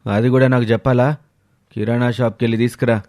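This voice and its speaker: A man's voice: mid-range voice, flat delivery, brisk, darkish tone, dry recording, clean studio sound, close to an everyday voice. A man voicing a self-pitying, irritable young husband in a story, complaining resentfully. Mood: defensive.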